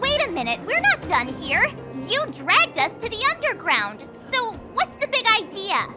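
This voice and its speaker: A woman's voice: very high-pitched, huge pitch swings, moderate pace, heavily acted. A woman voicing a spirited, inquisitive, outspoken teenage girl adventurer in a video game. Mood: indignant. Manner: perky, blunt, curious. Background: game music.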